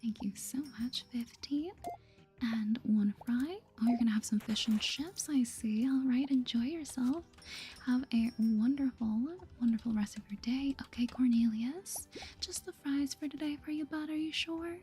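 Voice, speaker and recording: Whispering, bright-free soft ASMR gaming woman. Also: fairly high pitch, normal speed, faint music behind. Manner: chirpy polite shopkeeper patter, gentle. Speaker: gaming video host. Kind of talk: soft-spoken whispered ASMR game playthrough.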